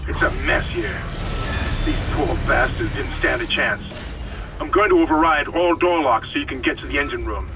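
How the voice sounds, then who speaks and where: A man playing a battered, gruff, resolute spaceship commander in a video game, talking in an urgent, clipped way. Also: mid-range voice, animated, normal speed, over music, natural voice. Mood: grim, urgent.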